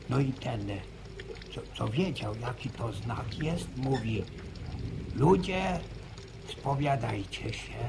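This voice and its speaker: An actor playing an old village grandfather who tells a slow, pious story in halting rustic speech, in a radio drama. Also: medium pitch, vocal fry, background noise. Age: older. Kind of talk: 1960s radio drama acting.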